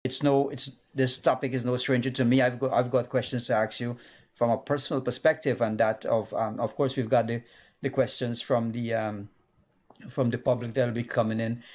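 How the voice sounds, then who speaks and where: Halting man hosting a show. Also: medium pitch, level tone, darkish tone. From Guyana. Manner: halting, many fillers and restarts. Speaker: host of a medical call-in show. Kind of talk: casual live show hosting. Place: TV studio.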